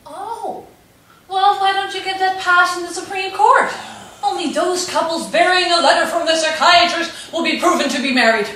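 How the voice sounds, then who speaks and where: An actress playing an impulsive, emotional young wife, dramatic and swinging between shouting and tears as she argues. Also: very high-pitched, normal speed, heavy reverb, far from the mic, rough recording. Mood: indignant. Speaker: wife in a quarrel.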